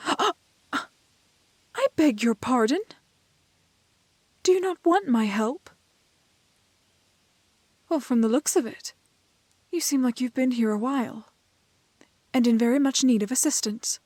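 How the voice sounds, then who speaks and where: A woman playing a prim, plucky, kind-hearted young woman in a fairy-tale roleplay, with soft voice and proper, old-fashioned phrasing. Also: sits high, takes it slowly, long pauses, no room sound. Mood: miffed, teasing. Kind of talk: romantic fantasy roleplay audio acting.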